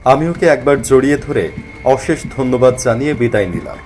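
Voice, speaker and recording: A man's voice: medium pitch, expressive, a little fast, darkish tone, faint music behind. A man narrating a scripted horror story in a dramatic first-person storytelling style.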